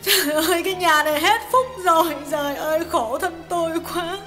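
A man voicing an enraged, betrayed young wife who scolds shrilly and cries as she shouts. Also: very high voice, brisk, faint music behind, voice pushed up. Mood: wailing. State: crying.

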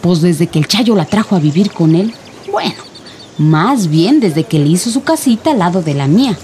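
An actress playing a gossipy, prudish village neighbour in a radio drama, chatty and insinuating, with a Mexican accent. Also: female voice, mid-range voice, darkish tone.

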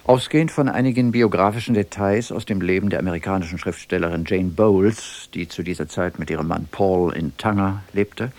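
Deep-voiced formal radio announcer, male. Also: a little mellow. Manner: formal, carefully phrased. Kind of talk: scripted radio introduction.

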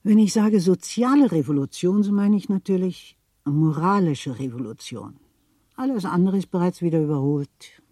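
An actress playing a worldly, gossipy middle-aged widow of a Berlin official in a German radio play, theatrical, insinuating and chatty. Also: female voice, fairly low pitch, animated, normal speed, dark tone, no echo. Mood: indignant, relishing. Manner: theatrical, insinuating, chatty, repeats words for emphasis.

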